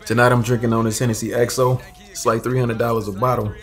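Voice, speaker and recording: A man's voice: medium pitch, level tone, fast, soft background music. A man who grew up in Washington State, hosting a casual album review in a laid-back, slangy way. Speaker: music reviewer, channel host. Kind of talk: casual album reaction chat. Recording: noisy background.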